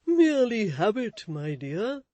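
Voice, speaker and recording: A woman voicing a flustered, meek middle-aged husband who talks slowly and sheepishly. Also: mid-range voice, big pitch swings, warm tone, no room sound, voice pushed up. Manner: slow, hesitant, sheepish.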